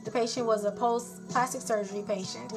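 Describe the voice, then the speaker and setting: A woman's voice: fairly high pitch, fairly even intonation, brisk, music in the background. A woman born in Haiti and raised in South Florida, a nurse, venting bluntly and frankly in a rambling casual vlog.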